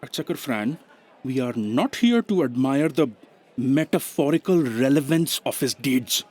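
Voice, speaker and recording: A woman's voice: extremely low pitch, animated, unhurried, dark tone. A woman playing a stern, exasperated official in charge in an audio drama, commanding and blunt.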